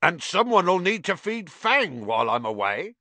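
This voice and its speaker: A huge, shaggy bear of a man, rough-spoken and fiercely loyal. He blusters loudly and growls, with the pitch pushed up and swinging widely. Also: big pitch swings, slow, no echo, full character voice. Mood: loud, careful. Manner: roaring, growling, rough colloquial speech. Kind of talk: audiobook character acting.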